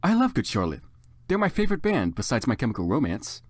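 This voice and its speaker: A man narrates a story theatrically, with exaggerated emphasis and hugely animated intonation. The voice sits at a medium pitch and sounds close and dry. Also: fast talker, dry recording. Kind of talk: dramatic comedic fan fiction reading.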